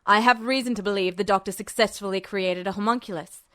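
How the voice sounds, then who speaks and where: Woman putting on a gruff gravelly officer, low-pitched, menacing, commanding, dry close sound. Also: fast, a bit bright, no room sound, natural voice. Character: gruff gravelly male major general, menacing. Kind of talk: podfic reading of fan fiction.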